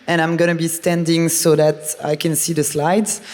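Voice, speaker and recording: Man, Belgian French accent, conference talk, hesitant, higher pitch, long hall reverb. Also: completely flat delivery, moderate pace, darkish tone. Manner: hesitant, many fillers. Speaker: artistic director and curator. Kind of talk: conference presentation.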